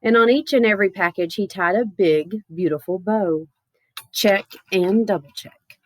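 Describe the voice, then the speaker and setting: A woman's voice: mid-range voice, moderate pace. Woman playfully reading a children's storybook. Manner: playful, expressive, theatrical emphasis. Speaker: storybook reader. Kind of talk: animated children's picture book read-aloud.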